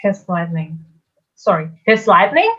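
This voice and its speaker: A woman's voice: medium pitch, big pitch swings, unhurried, sharp tone. A woman playing a confused, earnest teenage demigod boy in a theatrical table read, bewildered and questioning, on a slightly dull microphone.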